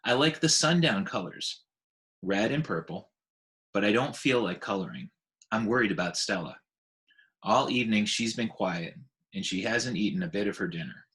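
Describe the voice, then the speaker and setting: A man's voice: fairly low pitch, lively intonation, normal speed, a bit bright. Man reading as little girl, anxious, pleading, tender-hearted, storybook character. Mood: worried. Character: young schoolgirl, caring, worried about an animal friend.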